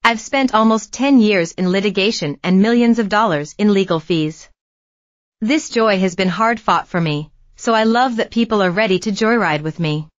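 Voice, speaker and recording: A woman narrates a celebrity news piece in a steady, even read-aloud style. Her voice sits in a middle pitch. The recording is close and dry, with a slightly dull, muffled tone. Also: moderate pace, no room sound.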